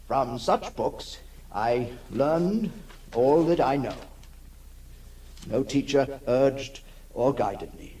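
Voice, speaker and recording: An actor playing a haunted, lonely male outcast in a halting, theatrical 1960s radio drama monologue, intense and tormented. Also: mid-range voice, warm tone. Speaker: actor narrating as the character. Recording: noisy 1960s radio recording.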